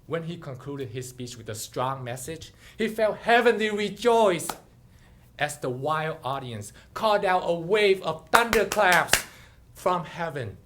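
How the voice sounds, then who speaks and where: A man giving a dramatic storytelling speech, with theatrical, deliberate phrasing. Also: high voice, a bit bright. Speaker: club speaker telling a story.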